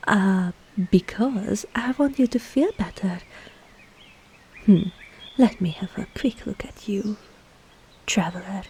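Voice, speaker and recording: A seductive, scheming witch, acted by a woman, whispers in an ASMR roleplay with a soft, dark tone and a crackly edge. She lingers teasingly over her words and sounds falsely reassuring. Faint forest noise and birds sit behind her. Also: medium pitch, slow, pauses often. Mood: sly, teasing. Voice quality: breathy, mostly whispered.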